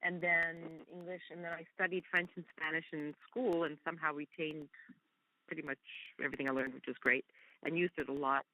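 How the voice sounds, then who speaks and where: Effusive woman with a bright tone. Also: low voice, flat delivery, fairly quick, no echo, muffled recording. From Canada. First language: Hungarian. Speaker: guest actress. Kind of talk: casual interview chat.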